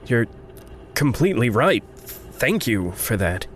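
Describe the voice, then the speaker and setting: A man's voice: mid-range voice, big pitch swings, takes it slowly, slight background noise. A man playing an earnest, grateful, idealistic young man in a fiction podcast, speaking hesitantly. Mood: sincere, grateful. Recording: music underneath.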